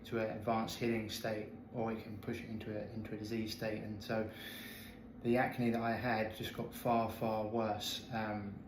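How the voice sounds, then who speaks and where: Earnest guy in his 30s on a video call, lots of restarts, flat tone, echoey room. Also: on the low side, moderate pace, background noise. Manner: earnest, candid, many restarts. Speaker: health coach guest. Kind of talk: interview storytelling.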